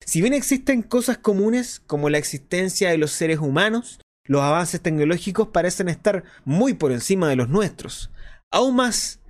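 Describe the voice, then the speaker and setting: A man playing an earnest, explanatory host of a clandestine resistance radio show, in a scripted monologue with deliberate phrasing. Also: a little high, close to an everyday voice. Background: music behind the voice.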